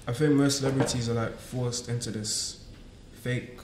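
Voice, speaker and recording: A man with a flat, even voice weighs his views on a fashion panel, hedging with repeated "I think". The studio is echoey with faint hiss. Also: mid-range voice, moderate pace. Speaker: panelist, brand designer. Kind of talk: casual panel discussion.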